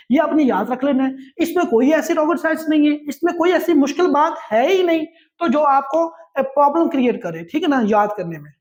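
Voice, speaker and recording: Pakistani guy teaching law, really high voice, drills stuff over and over. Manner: repetitive, emphatic, drilling key points. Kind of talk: exam-prep lecture.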